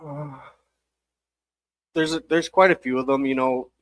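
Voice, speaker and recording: A man speaking as a baseball coach, giving casual, measured interview answers with hesitant phrasing. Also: medium pitch, fairly even intonation, a bit bright, dry recording.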